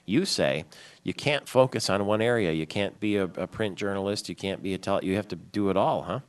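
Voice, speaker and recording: A man in his forties with a very low voice hosts a radio interview. He is chatty and friendly, stumbling and restarting as he works his questions out. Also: fairly quick. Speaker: radio host.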